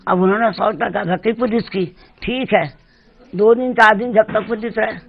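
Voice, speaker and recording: A woman's voice: mid-range voice, steady tone, moderate pace, gravelly, narrow, tinny audio. An older woman giving spontaneous, pleading testimony in a news interview. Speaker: bereaved mother interviewed for news.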